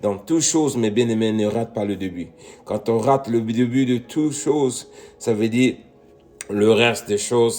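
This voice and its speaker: A man's voice: on the low side, darkish tone. A middle-aged man from Congo preaching a sermon, addressing listeners as beloved and punctuating points with amen, rising to emphatic peaks. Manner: repeats "mes bien-aimés" and "Amen", rising to emphatic peaks.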